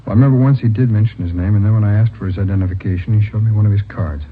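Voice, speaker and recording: A man's voice: lowish voice, rapid-fire, lightly acted. A man acting an earnest, worried young rookie policeman in an old radio drama on a tinny, hissy recording. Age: young adult. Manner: earnest, pleading, hesitant.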